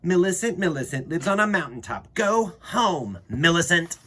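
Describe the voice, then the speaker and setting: A man's voice: a little high, big pitch swings, moderate pace, a bit bright, voice pushed up. A man voicing a bossy, taunting village child in a storybook, jeering and challenging. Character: taunting village children, a bossy red-haired boy among them.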